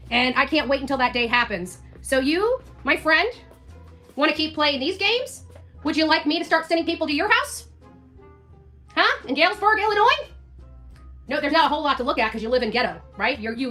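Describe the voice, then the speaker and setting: A woman with a very high, sharp, bright voice goes off on a livestream rant. She is combative and taunting, hammering phrases by repeating them. Faint music sits behind her.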